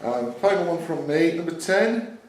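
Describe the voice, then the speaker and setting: A man's voice: a little high, big pitch swings, moderate pace. A man acting as quizmaster, reading out questions at a live quiz. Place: club function room, indoors. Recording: echoey noisy room, distant mic.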